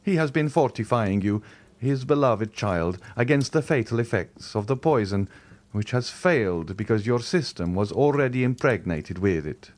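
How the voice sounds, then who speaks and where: A man voicing a grave, protective middle-aged nobleman who gives solemn, measured warnings. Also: mid-range voice, expressive, close to an everyday voice.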